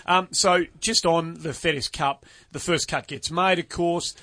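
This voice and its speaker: An Australian man hosts a casual golf chat on a studio microphone, his voice sitting a little high for a man. He talks in an animated, emphatic way and rattles through the numbers. The sound is dry and close. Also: no echo. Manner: animated, emphatic, runs through stats quickly. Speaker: podcast host.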